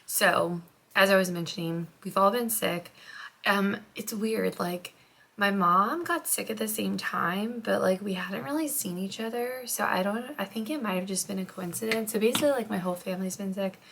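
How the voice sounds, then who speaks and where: Chatty young mom, a bit hoarse. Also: female voice, medium pitch, moderate pace, fairly bright tone. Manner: chatty, rambling, heavy use of "like". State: slightly hoarse, congested after illness. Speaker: vlogger, stay-at-home mom. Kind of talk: casual chat to camera. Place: home, getting ready.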